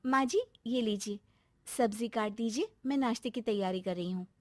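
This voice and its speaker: A woman playing a polite, dutiful, quietly sharp young daughter-in-law in a cartoon family drama. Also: fairly high pitch, normal speed, dry recording, clean studio sound, lightly acted. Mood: polite, helpful.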